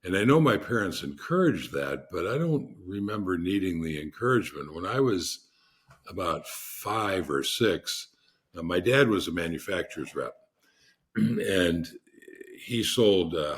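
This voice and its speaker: A man with a deep voice, a podcast guest telling rambling anecdotes in a casual interview, often restarting his sentences. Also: breathy edge.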